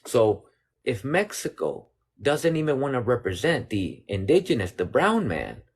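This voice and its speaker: A man's voice: mid-range voice, big pitch swings, unhurried, breathy edge. A man speaking earnestly and measuredly as a guest in a discussion, slipping Spanish phrases into his English. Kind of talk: casual discussion.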